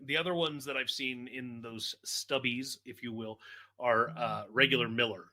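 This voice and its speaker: Bright-voiced man interviewing casually. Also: medium pitch, steady tone, moderate pace. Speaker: podcast interviewer.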